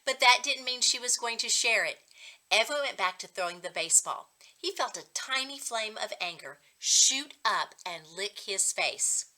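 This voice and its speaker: A woman reading a children's story aloud as a reading coach, in expressive narration. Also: on the high side, normal speed.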